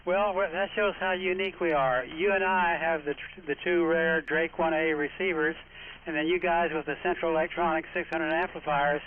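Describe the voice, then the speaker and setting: A man's voice: fairly high pitch, steady tone, normal speed. A man hosting an amateur radio net in a folksy, encouraging, conversational way. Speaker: net control host. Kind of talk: casual ham radio net chat. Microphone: radio microphone. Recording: phone-like radio audio, faint static.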